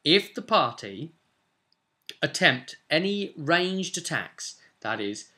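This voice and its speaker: A man's voice: a little high, sing-song, slow pace, pushed and bright. A young British man giving a casual, emphatic recap to camera as a game master, chatting from his desk at home. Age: young adult. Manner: chatty, emphatic, gesturing storyteller. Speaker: vlogger and game master recounting a session. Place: small indoor home room, seated at a desk.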